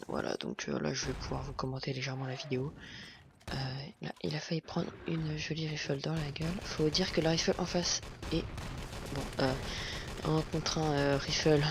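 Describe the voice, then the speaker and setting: A man's voice: on the high side, creaky. A man giving a casual, hesitant voice-over commentary on a game video, with many fillers and restarts. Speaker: gameplay commentator. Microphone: home voice recording, self-described as not the best setup. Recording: noisy recording with game gunfire behind. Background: game sounds and gunfire.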